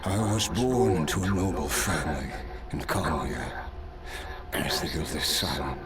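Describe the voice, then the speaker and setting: A man playing an ancient, courteous noble swordsman in a video game, telling things in a measured, solemn, reflective way. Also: rumbling low. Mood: wistful, reflective. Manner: measured, solemn storytelling with pauses. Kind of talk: scripted video game voice acting.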